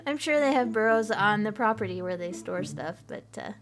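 A woman's voice: mid-range voice, expressive, moderate pace. A woman co-hosting a podcast, chatting casually and giggling often, with frequent restarts. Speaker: podcast co-host. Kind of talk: casual chat.

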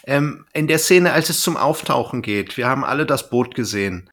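A man's voice: mid-range voice, lively intonation, normal speed, a bit bright. A man hosting a podcast, chatting casually as he recaps a story. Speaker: podcast host. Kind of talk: casual podcast chat, recapping an audio drama.

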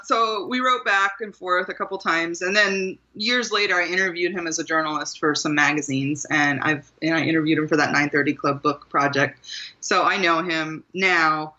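A woman from Maryland, a guest author, telling stories in an animated way and laughing often. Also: low-pitched. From Maryland, USA. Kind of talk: conversational interview. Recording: muffled lo-fi sound.

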